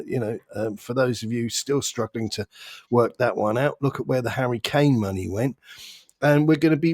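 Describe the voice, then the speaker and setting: A man's voice: mid-range voice, a little mellow, no room sound, studio quality. A man chatting casually as a football podcast host, joking, sarcastic and sweary. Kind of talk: casual fan banter and chat.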